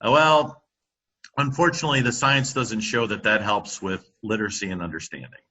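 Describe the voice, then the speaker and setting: A middle-aged man giving a presentation over a computer microphone, halting often with ums and restarts. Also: lowish voice, pushed and bright, slight background noise. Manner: halting, many fillers and restarts. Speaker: company presenter on classroom audio. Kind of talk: webinar presentation.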